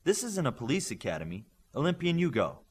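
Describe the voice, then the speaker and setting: A man's voice: on the high side, huge pitch swings, a little fast, vocal fry, slightly rough, heavily acted. A man voicing a stern, demanding superhero coach in an audiobook, speaking with blunt, commanding authority to young trainees. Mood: brusque, testing. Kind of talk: audiobook character dialogue.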